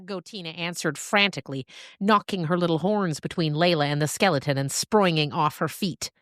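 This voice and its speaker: A woman narrator reading a scripted story in a deliberate, dramatic storytelling manner. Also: on the low side, a bit bright, dry recording, clean studio sound.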